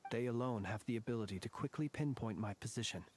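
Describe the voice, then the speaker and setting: An actor playing a grave, courtly soldier in a video game, speaking in formal, measured, deliberate phrases. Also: male voice, medium pitch, a little mellow, a little hiss or hum, close to an everyday voice. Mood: composed, formal. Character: grave, composed, courtly male soldier, quietly vengeful. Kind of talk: scripted video game voice acting. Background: game music.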